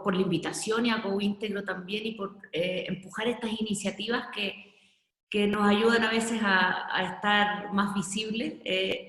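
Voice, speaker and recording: A woman's voice: mid-range voice, a bit bright. Chilean woman in an echoey room. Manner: earnest, reflective, with frequent restarts. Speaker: panelist, HR director. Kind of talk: online panel discussion. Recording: echoey room with long reverb.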